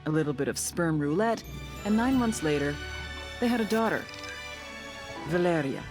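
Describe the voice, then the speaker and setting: An actress plays a tough, cynical female intelligence agent narrating a cartel briefing in a video game. Her voice is low and swings widely in pitch as she talks. She is blunt, sardonic and foul-mouthed, with loud music under her. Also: expressive, normal speed, natural voice. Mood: wry storytelling. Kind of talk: scripted dramatic narration in a video game cutscene.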